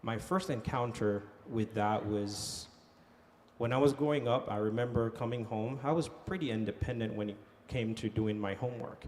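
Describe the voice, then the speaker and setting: A man who grew up in Haiti speaks on a conference panel, relating his own experience in an easy, story-telling way with plenty of "uh" and "um". His pitch sits in a middle range. A faint background noise runs under the voice. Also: normal speed. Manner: animated storytelling with frequent fillers. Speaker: panelist, economic development director. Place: conference hall.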